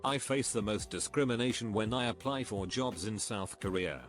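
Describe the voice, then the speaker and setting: A man giving a scripted narration, reading online questions and answers in an even, measured way. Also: fairly low pitch, faint music behind.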